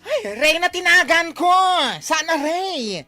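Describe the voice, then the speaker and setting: An excitable, gossipy village woman acted by a woman for a radio comedy drama. Her high voice swoops widely as she gushes at each rumor, taking her time, in a close, dry sound. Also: slow, dry recording, soft background music, heavily acted. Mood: gossipy, eager. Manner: exclamatory, gushing.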